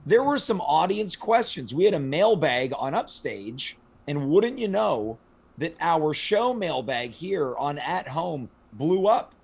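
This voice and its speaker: Hoarse man hosting with theatrical flair. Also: fairly high pitch, very animated, slow pace. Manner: theatrical, playfully boastful. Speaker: host. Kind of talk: comedic show banter. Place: home studio.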